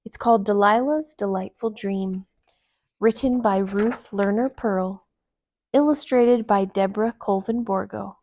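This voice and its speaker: A woman reading a children's story aloud, with gentle, expressive storytelling narration. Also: mid-range voice, measured pace, dry recording. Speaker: storytime reader.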